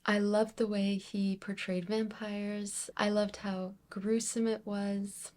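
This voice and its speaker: An enthusiastic woman talks to her viewers in a casual book-review vlog. Her voice sits at a medium pitch, and her delivery is expressive and conversational. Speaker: book reviewer video host.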